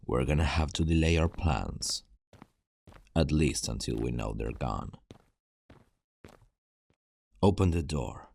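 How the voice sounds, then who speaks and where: A man playing a gruff, world-weary zombie apocalypse survivor in an ASMR roleplay, guarded and urgent, terse and hushed, with a gravelly voice. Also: rumbling low, animated, slow, pauses often, a little hiss or hum, exaggerated voice. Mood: tense, urgent. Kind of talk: ASMR roleplay acting, addressing the listener.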